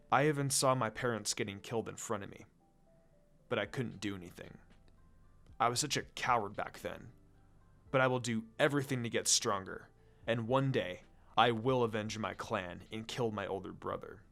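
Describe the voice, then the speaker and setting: A man plays a brooding young ninja boy bent on revenge in a story reading. He speaks quietly and gravely, in a lowered, controlled voice over music. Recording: music under the voice.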